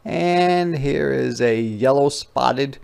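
A man's voice: mid-range voice, slow pace, creaky, darkish tone, clean tone. A man reading a picture book aloud as a teacher, explaining things simply and conversationally for children. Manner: conversational, repeats phrases.

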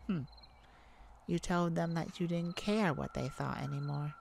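A woman voicing a tender, wounded, lovesick vampire in an intimate ASMR roleplay, speaking haltingly and with emotion. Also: sits low, vocal fry, a little mellow, a little hiss or hum. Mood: wary, sombre. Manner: hesitant, emotional, halting with sighs and pauses.

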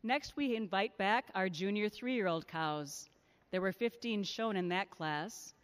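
A woman working as ring announcer, reading out entries, exhibitors and sponsors in a measured, formal way at a large indoor show arena. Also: mid-range voice, dry recording. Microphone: handheld PA microphone.

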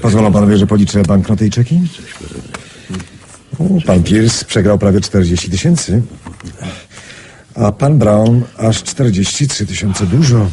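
An actor playing a composed, courteous middle-aged gentleman gambler in a radio drama, speaking in a measured way. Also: lowish voice, animated, slight background noise. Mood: calm, assured. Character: self-assured older gentleman gambler trusting his talisman.

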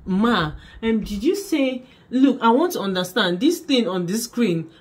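A young woman with a Nigerian accent, telling a story to camera in a small bedroom in an animated, dramatic way. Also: fairly high pitch, unhurried, husky. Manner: animated, dramatic, laughing, mixing in pidgin. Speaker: vlogger. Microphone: camera in front at desk distance.

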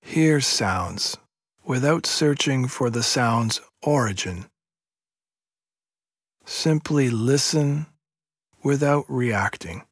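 Slow, breathy male meditation guide. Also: mid-range voice, expressive, no echo, clean studio sound. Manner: slow, hushed, soothing, measured instruction with long pauses. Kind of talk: guided yoga nidra sleep meditation.

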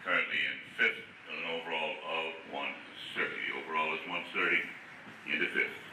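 Very deep-voiced man over an echoey PA. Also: fairly even intonation, fairly quick. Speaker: horse show announcer. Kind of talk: public-address announcing of riders and results. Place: outdoor horse show arena, heard over loudspeakers. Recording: echoey distant outdoor PA, noisy.

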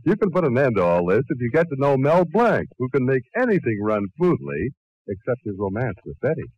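A man's voice: mid-range voice, sing-song, fairly quick, darkish tone, slightly rough, highs cut off. A man announcing a radio comedy from a script in 1940s style. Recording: old 1940s radio recording.

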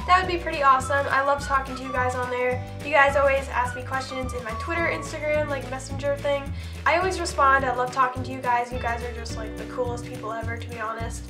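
A woman's voice: high voice, reverberant. A teenage girl with a sore throat and stuffy nose chatting casually to camera about clothes, bubbly and full of likes and restarts. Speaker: vlogger. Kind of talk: casual haul video chat.

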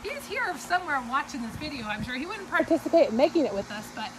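A woman's voice: high voice, very animated, fast, low-quality recording. A young adult woman, hosting a casual, upbeat, teasing livestream walkthrough of auction items in a storage shed. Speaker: auction company host.

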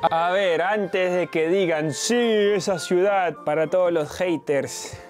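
A young man with a Peruvian Spanish accent, chatting lively and directly to his viewers in a casual vlog. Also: sits high, slow, soft background music. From Peru. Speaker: travel vlogger. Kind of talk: casual vlog talk to camera.

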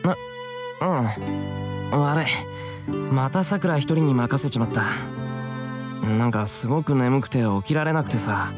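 A man's voice: medium pitch, unhurried, pauses often, lightly acted. A man plays an earnest teenage boy in a visual novel. His mid-range voice is casual and a little blunt, with music playing underneath. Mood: apologetic, puzzled. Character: earnest, easygoing teenage schoolboy. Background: game music.

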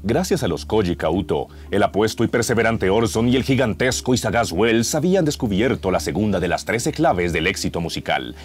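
A man's voice: fairly low pitch, lively intonation, fairly quick, hardly any pauses, slight background noise. A man in his thirties with a Colombian accent narrating a radio serial in a mock-epic, suspenseful style, close on a studio mic. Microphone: close broadcast microphone.

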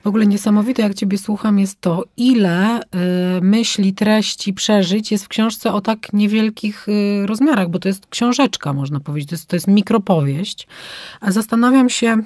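A woman's voice: mid-range voice, moderate pace, darkish tone, no room sound, clean studio sound. A woman hosting a literary podcast who asks her guest questions in an enthusiastic, conversational way. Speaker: podcast host. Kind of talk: conversational interview.

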